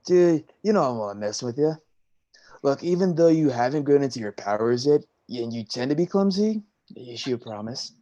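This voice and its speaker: A man's voice: medium pitch, expressive, brisk, mellow, muffled recording, close to an everyday voice. A man playing a laid-back, teasing young sea god with surfer slang in a staged play reading.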